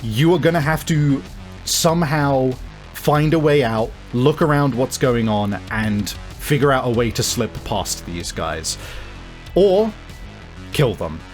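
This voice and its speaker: A man runs a tabletop game as its game master. His voice sits at a middle pitch, and he explains and describes off the cuff in halting phrases. Music plays clearly behind him. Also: expressive. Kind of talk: improvised narration of a tabletop game.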